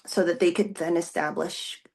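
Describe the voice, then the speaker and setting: A woman's voice: lowish voice, steady tone, normal speed, gravelly, darkish tone, low-quality recording. A woman in her forties, raised in the Philippines with Tagalog as her first language, answering interview questions as a pastor in a thoughtful way, with many fillers and restarts. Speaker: executive pastor, guest.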